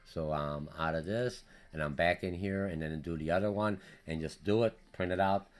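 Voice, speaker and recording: Low-voiced male business teacher with a Polish accent, rushing through a recorded course update. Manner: hurried, energetic, repetitive with many fillers. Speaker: college business instructor.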